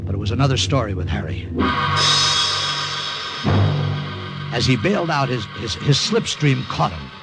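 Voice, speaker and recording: An actor playing an excitable, slangy American airman who tells his war story in a dramatic old radio thriller style. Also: medium pitch. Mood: tense recounting. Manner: dramatic, slangy, halting under strain. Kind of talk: 1940s-50s radio drama narration and acting. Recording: old-time radio recording with music.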